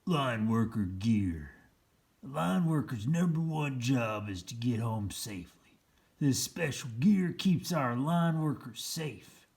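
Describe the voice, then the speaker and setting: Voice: mid-range voice, animated, slow, creaky, a little mellow. A storyteller reading a children's picture book aloud in a theatrical, playful way.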